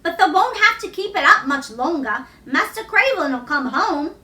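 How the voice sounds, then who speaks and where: A motherly, middle-aged Yorkshire countrywoman as a woman reader puts her on talks in emphatic, folksy dialect. The storybook voice is high and bright, heard a little far off in a roomy space. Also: big pitch swings, moderate pace, heavily acted. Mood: amused, fond. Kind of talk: children's storybook read-aloud. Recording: roomy echo, distant microphone.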